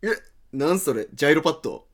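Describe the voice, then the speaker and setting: A man acts out a cocky would-be comedian with a Kansai accent in a radio drama. He is loud, breezy and cheeky. His voice sits a little high and he is always joking. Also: lively intonation, fast talker. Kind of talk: Japanese radio drama acting.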